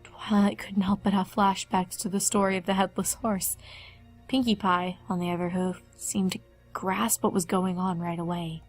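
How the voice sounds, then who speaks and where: A woman's voice: mid-range voice, little pitch movement, normal speed, soft background music. A woman reading fan fiction aloud as scripted story narration.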